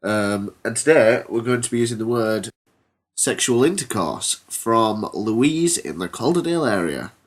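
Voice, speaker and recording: A man's voice: medium pitch, lively intonation, measured pace. A teenage boy hosting a homemade comedy podcast, congested from a cold, telling an absurd story and chatting with hesitant, rambling ums. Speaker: podcast host. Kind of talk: comedy storytelling and casual chat.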